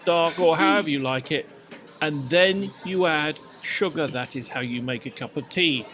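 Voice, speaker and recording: Man, English accent, slightly high pitch, middle-aged, emphatic, mock-pompous, casual podcast storyteller. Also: normal speed, a bit bright. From England. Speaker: podcast host. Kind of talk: casual anecdotes and mock-instructional chat.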